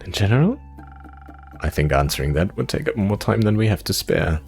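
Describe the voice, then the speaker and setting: A man's voice: fairly low pitch, very animated, brisk, creaky, a little mellow. A man voicing an anxious, self-doubting young adventurer from the forest, stammering and rambling in a visual novel. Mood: nervous, confiding. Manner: nervous, hesitant stammering and rambling. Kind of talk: visual novel character read-aloud. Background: music.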